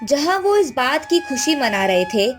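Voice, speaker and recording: A woman's voice: high-pitched, very animated, measured pace. A woman narrator reading a scripted moral tale for a cartoon. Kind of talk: scripted children's story narration. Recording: music bed behind the voice. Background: background music.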